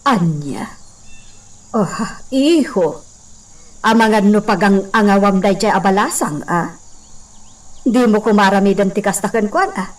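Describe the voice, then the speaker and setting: A woman's voice: mid-range voice, lively intonation, measured pace, long pauses, close to an everyday voice. A woman playing an elderly, genteel grandmother and landowning lady, wary and admonishing, in an Ilocano radio drama. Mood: puzzled, admonishing. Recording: slightly distant mic, crickets behind.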